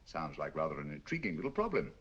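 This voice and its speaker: An actor with a British accent playing a sharp, inquisitive male detective, asking crisp, probing questions in 1940s film style. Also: extremely low pitch, wildly swooping pitch, quick pace, heavily acted. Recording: dull old film soundtrack.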